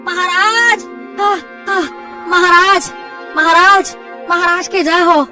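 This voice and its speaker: A woman voicing an excitable, earnest young village fisherman in a Hindi cartoon, exclaiming and repeating words urgently. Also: very high voice, takes it slowly, distant mic, over music. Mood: urgent, respectful. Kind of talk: Hindi cartoon moral story acting.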